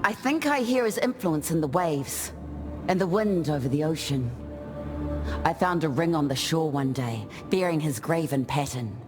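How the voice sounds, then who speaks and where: A man's voice: fairly high pitch, animated, over music, natural voice. Man acting a serene, graceful island warrior. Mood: reverent. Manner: measured, reflective, lyrical. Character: easygoing, graceful island warrior spirit who dances through battle. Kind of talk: scripted game character monologue.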